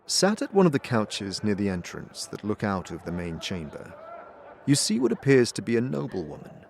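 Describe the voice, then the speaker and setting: A man with a lowish voice narrates in the second person, measured and descriptive. His intonation is lively and expressive throughout.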